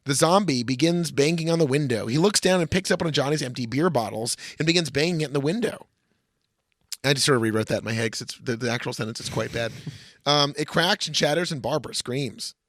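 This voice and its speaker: A man's voice: mid-range voice, fast, a bit bright, dry recording, clean studio sound. A man co-hosting a comedy podcast, bantering irreverently and reading a screenplay aloud in a mock-dramatic way, with swearing and interjections. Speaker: podcast co-host.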